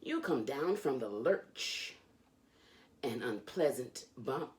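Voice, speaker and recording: A woman with a strikingly low voice reads a storybook aloud for children. She takes her time, going slowly through the lines. Her delivery is animated and sing-song, with lively rises and falls in pitch as she hosts the read-along. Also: a little hiss or hum.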